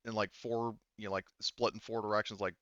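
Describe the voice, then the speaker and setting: Guy gming a tabletop game, lowish voice, thinks out loud, kinda dull dry mic. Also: flat delivery, a little fast, no room sound. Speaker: game master. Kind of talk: narrating a tabletop roleplaying game.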